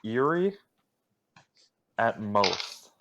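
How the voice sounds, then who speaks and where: A young man co-hosting a casual podcast, talking in a droning, flat voice with little emotion and going off on rambling tirades. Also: on the low side, extremely slow, background noise. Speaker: podcast co-host.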